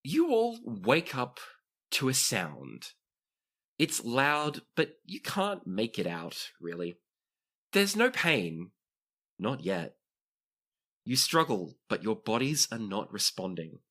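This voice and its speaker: An animated game master narrates a tabletop roleplaying show with a widely swinging, mid-pitched voice. The delivery is dramatic in the storytelling and turns dry and joking between scenes. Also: takes it slowly, long pauses.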